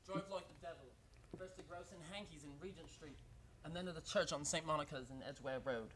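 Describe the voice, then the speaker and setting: An actor playing a brisk gentleman barrister giving hurried orders in a radio play, heard off-mic. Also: on the high side, natural voice.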